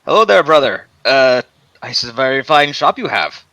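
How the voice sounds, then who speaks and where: A man's voice: medium pitch, very animated, moderate pace, bright, forceful tone, no echo. A man voicing a polite, eager male mouse-folk adventurer, with stumbling restarts.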